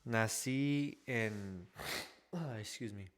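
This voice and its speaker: Man in his thirties, low voice, very slow, halting restarts. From Texas, USA. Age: 30s. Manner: halting, restarts often, slips into English words. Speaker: podcast co-host telling his testimony. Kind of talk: casual faith testimony.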